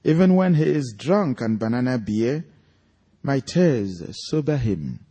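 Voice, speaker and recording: A man's voice: medium pitch, expressive, a little slow, dark tone. A man with a Nigerian accent, an author speaking and reading aloud to an audience at a live event. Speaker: author reading from his own story at an event.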